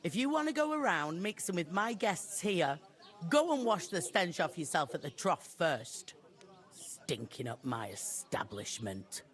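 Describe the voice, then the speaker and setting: An actress playing a gruff, blunt medieval tavern keeper who scolds her guests. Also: on the low side, big pitch swings, unhurried, a bit bright. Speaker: innkeeper.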